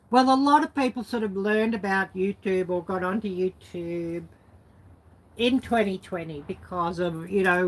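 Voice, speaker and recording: A woman with a slightly low voice talks casually, as a vlogger does. Her delivery is unscripted and rambling, full of colloquial turns and filler phrases as she looks back on things. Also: fairly bright tone, poor audio. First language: English. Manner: rambling, colloquial, peppered with "you know" and "sort of". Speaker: YouTube vlogger. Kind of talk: casual, unscripted reminiscing chat.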